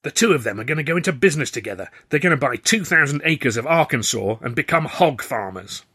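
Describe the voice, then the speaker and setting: A man's voice: mid-range voice, animated, quick pace, sharp tone, slightly rough, pitched above the performer's own voice. A man doing a cheeky gossiping young man. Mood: eager gossip. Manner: eager, conspiratorial.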